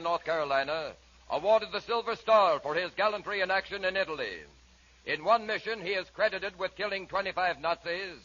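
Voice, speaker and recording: A man's voice: high-pitched, sharp tone. A man reading a formal scripted tribute in 1940s radio announcing style. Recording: muffled 1940s radio recording.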